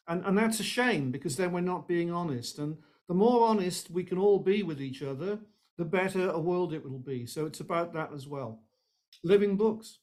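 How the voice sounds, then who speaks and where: Guy, quite high voice, musician on a podcast, rambly casual chat. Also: darkish tone. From Wales. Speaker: podcast guest, singer-songwriter.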